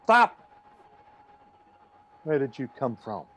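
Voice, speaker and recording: An actor playing a paranoid, beaten militia soldier in a radio play, with a jittery, stammering, emphatic delivery. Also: male voice, medium pitch, sing-song, slow, fairly bright tone, a little hiss or hum. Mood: suspicious, jumpy. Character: paranoid, defeated militia soldier guarding his territory. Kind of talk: radio play reading, dramatic dialogue.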